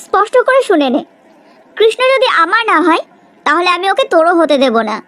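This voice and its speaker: A woman's voice: very high-pitched, big pitch swings, a little fast, fairly bright tone, heavily acted. A woman playing a jealous, accusing young woman in a cartoon melodrama, with a confrontational, dramatic manner. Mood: jealous, defiant.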